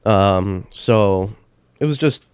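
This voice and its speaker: A man's voice: fairly low pitch, slow, smooth, no echo. A congested man chatting casually as a podcast guest, laid-back with short replies. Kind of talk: casual chat.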